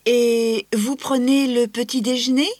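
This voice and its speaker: An actress voicing a polite, curious female interviewer who asks short, clear questions. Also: a little high, level tone, measured pace, a little mellow, no room sound. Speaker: interviewer in a scripted listening-test dialogue. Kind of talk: scripted dialogue reading.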